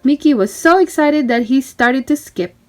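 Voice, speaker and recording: A woman reading a children's story aloud in an animated, emphatic, child-directed way. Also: high voice, normal speed, soft background music. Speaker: storybook reader. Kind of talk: expressive children's read-aloud narration.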